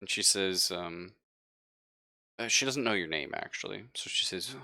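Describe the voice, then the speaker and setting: A man's voice: sits low, animated, normal speed, creaky, sharp tone, dry recording, clean studio sound. A man speaking as game master, improvising narration of a tabletop game in an informal, explanatory way.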